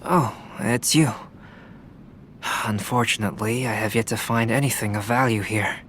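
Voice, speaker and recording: A man's voice: on the low side, a bit bright, slight background noise, lightly acted. Man acting composed, analytical young male scholar. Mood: thoughtful. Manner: measured, precise, formal. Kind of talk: anime game voice acting.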